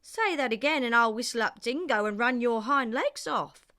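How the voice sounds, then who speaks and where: A woman voicing a gentlemanly but imperious male god in a storybook, easily offended. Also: on the high side, lively intonation, moderate pace, pitched above the performer's own voice. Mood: offended threat. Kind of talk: storybook character voice.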